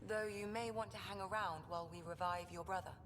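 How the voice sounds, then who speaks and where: An actress playing a brisk, reassuring ship's doctor in a video game, speaking in a matter-of-fact way. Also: female voice, mid-range voice, fairly even intonation, long reverb tail, noisy background, natural voice.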